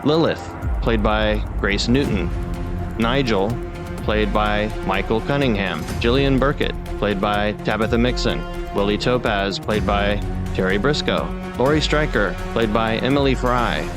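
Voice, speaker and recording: A man reading scripted podcast credits and announcements as the show's producer. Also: lowish voice. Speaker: writer-producer and announcer of the show.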